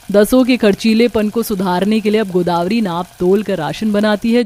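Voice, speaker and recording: A woman's voice: medium pitch, dark tone. A woman reading scripted storytelling narration for a cartoon family tale.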